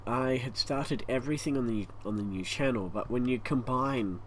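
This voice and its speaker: A man with an Australian accent and a croaky, hoarse voice, rambling through a casual channel update at home, stopping often for breath. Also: medium pitch, normal speed, low-quality recording. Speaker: YouTube gaming channel host. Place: hot home room with a fan running. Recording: faint fan noise behind.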